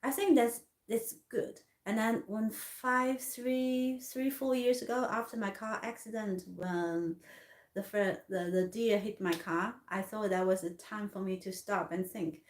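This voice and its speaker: A woman from Hong Kong in her thirties telling a personal story in halting English with many restarts. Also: mid-range voice, fairly even intonation, echoey room. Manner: halting, many restarts and fillers, non-native grammar. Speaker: artist vlogger.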